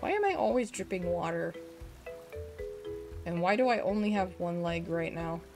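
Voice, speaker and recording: A woman's voice: lowish voice, animated, moderate pace, music in the background. A young woman casually streaming a game and reading its dialogue aloud, while she is losing her voice from illness. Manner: chatty, reads the hero's lines playfully.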